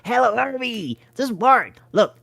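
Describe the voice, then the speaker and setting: A brash, greedy male hustler who is flat broke, pushy and full of slang, as a woman reading the story puts him on. The voice rises above her usual low pitch and swings widely, with a dry, close sound. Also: measured pace, creaky, no room sound, heavily acted. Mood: hustling.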